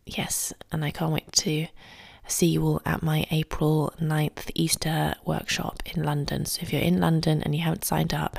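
A woman hosting a solo podcast, talking calmly and quietly, often close to a whisper, recorded at home early in the morning. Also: deep voice, vocal fry, husky, no echo. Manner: soft, calm and quiet, often near a whisper. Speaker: podcast host and ballet teacher. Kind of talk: solo podcast advice talk.

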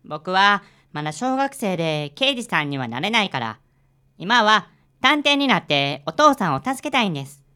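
A woman's voice: medium pitch, big pitch swings, moderate pace, sharp tone, smooth. A woman voicing an earnest, eager little schoolboy with a Kansai accent in a radio drama. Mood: sincere.

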